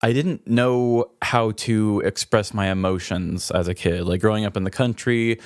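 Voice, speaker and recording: A man with a slightly low-pitched voice talks as a podcast co-host. He is candid and introspective, spinning out long reflective thoughts in casual language. The sound is close and dry. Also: steady tone, normal speed, smooth, no room sound.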